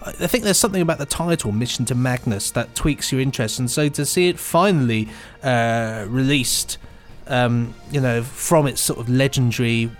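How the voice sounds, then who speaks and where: A man's voice: medium pitch, normal speed, soft background music. A man chatting casually and enthusiastically as a podcast co-host. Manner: enthusiastic fan-like talk. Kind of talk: casual chat.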